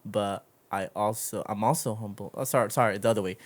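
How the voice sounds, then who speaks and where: A man's voice: on the low side, steady tone, quick pace. A man hosting a casual conversational interview, speaking informally with slang and loose swearing. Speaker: interview show host.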